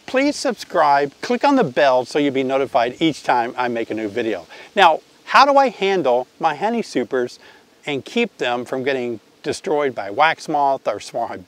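An older man giving a chatty, enthusiastic beekeeping tutorial outdoors in an apiary, talking straight to viewers. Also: medium pitch. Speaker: beekeeping teacher. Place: outdoor apiary, grassy field.

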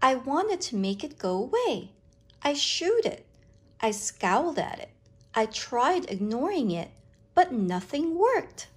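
A woman reading a picture book aloud to children, with expressive, deliberate, dramatic phrasing. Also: high-pitched, husky.

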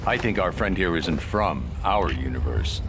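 An actor voicing a brooding masked detective hero in a video game, speaking tersely. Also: male voice, low-pitched, animated, moderate pace, over loud music. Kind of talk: video game cutscene voice acting.

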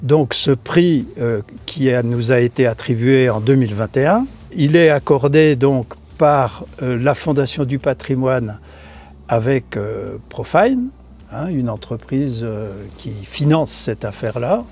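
Older man, mellow husky voice, hesitant. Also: medium pitch, measured pace. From France. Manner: hesitant, many fillers and restarts. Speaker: association member. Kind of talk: interview answers, casual explanation. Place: outdoors on a stone chapel tower balcony.

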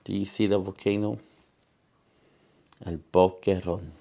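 A man with a Salvadoran accent hosts a children's storytime. His voice sits a little low and has a strong creaky, gravelly edge. He reads at an unhurried pace and stops to explain things to young listeners. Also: flat delivery, dark tone. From San Salvador, El Salvador. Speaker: storytime host. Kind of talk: bilingual read-aloud of a children's picture book. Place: indoor room in front of wooden backdrop.

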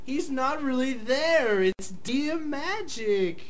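A woman's voice: sits high, animated, slow, heavy background noise. A woman voicing a silly, distracted young boy gamer in a live radio play, childish and theatrical. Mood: distracted, whiny. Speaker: actor.

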